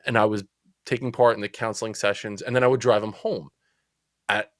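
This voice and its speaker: A man in his forties with a New Jersey accent, telling stories as a podcast guest in a chatty, lively way. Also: lowish voice, brisk, dry recording, clean studio sound. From Central New Jersey. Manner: chatty, animated storyteller. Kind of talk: casual storytelling interview.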